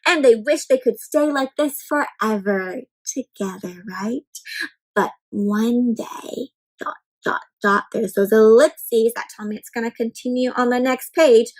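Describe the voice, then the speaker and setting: A woman with a high-pitched voice leads an interactive picture-book reading for young children. She speaks as a storyteller, in an animated manner, turning to her little listeners with questions and leaving pauses for them to think. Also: low-quality recording. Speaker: children's read-aloud storyteller.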